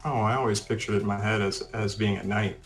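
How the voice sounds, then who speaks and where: A man's voice: on the low side, steady tone, fast, soft background music. A man playing a tabletop game, casually describing what his character does.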